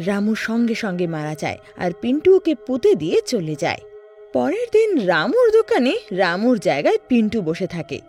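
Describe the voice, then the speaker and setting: A woman storyteller delivers scripted narration dramatically. Her pitch swings very widely, and music plays behind her. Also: sing-song, moderate pace, a little mellow.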